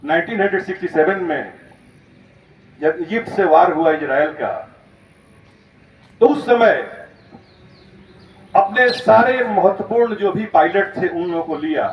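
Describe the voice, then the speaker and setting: A man's voice: high-pitched, lively intonation, a little slow, long pauses. A man speaking as a school principal, giving an emphatic, step-by-step explanatory lecture in storytelling style. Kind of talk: motivational school lecture, storytelling about current events. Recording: roomy, distant, muffled, noisy recording.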